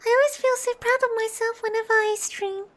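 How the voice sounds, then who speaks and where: A woman's voice: extremely high pitch, steady tone, fairly quick, a bit bright. A woman game streamer chatting casually with her viewers as a VTuber. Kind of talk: casual livestream chat.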